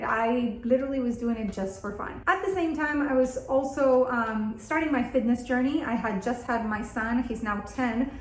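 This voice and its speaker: A 38-year-old woman from Argentina, a native Spanish speaker, talking casually and candidly to viewers as an upbeat vlog host. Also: on the high side. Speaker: content creator and video host. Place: bathroom at home, indoors. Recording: echoey indoor room.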